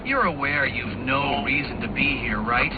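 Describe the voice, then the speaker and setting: Smug villain over a tinny radio. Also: male voice, mid-range voice, normal speed, noisy background. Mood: condescending. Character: smug intellectual male villain, condescending schemer and information broker. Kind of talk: video game voice acting. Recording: tinny band-limited comms line, music behind.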